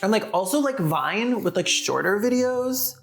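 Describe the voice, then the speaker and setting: A woman's voice: mid-range voice, lively intonation, unhurried, faint music behind. A woman narrating scripted video commentary in a sardonic way, with casual asides. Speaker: video essayist and host.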